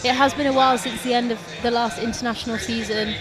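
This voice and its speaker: A woman's voice: fairly high pitch, level tone, fast talker. A woman co-commentating live on a climbing competition, upbeat and analytical, with encouraging words about the athletes' technique.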